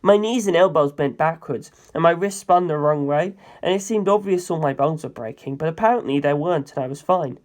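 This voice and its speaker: A man's voice: a little high, fairly quick, few pauses, a little mellow. A man narrating a dramatic story in the first person as an audiobook reader.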